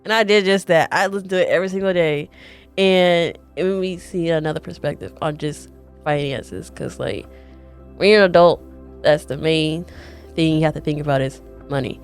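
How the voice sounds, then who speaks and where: Low-voiced young woman telling her story. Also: smooth, faint music behind. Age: young adult. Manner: chatty, quick bursts, frequent "like" and quoted self-talk. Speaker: podcast guest. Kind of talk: casual personal storytelling.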